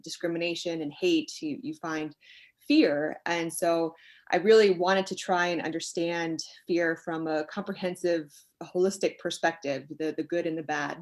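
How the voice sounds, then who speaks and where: A middle-aged woman from the United States, an expert guest explaining her work conversationally in a thoughtful, halting, reflective way. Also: on the low side, steady tone. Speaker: expert guest, sociologist and author.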